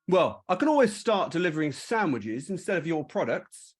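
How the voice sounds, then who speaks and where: A man's voice: fairly high pitch, big pitch swings, normal speed, breathy edge. A man acting office staff in an amateur comic story, from grave accountant to silly delivery guy. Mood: eager. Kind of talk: amateur comic audio drama.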